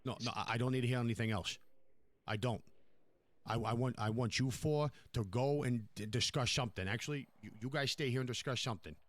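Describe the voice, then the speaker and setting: A man plays a domineering New York crime boss in a game roleplay. He browbeats and swears, hammering his demands over and over, in a mid-pitched voice recorded close and dry. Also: brisk, no room sound, natural voice. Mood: furious. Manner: browbeating, repetitive, profane. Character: furious, domineering New York mob boss, foul-mouthed.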